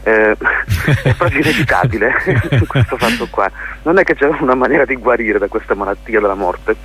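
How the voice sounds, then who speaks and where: A man's voice: mid-range voice, a bit bright, breathy edge. A man being interviewed on radio as a playwright, speaking reflectively and often searching for his words. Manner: thoughtful, halting, searching for words. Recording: muffled radio interview with hum and hiss.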